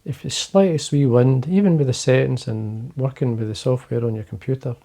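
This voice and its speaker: Quiet, gravelly Scottish man, rambling. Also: medium pitch, fairly quick. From Scotland. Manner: quiet, rambling, many fillers and restarts. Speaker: fly-fishing video host. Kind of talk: casual talk to camera. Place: indoors, fly-tying desk.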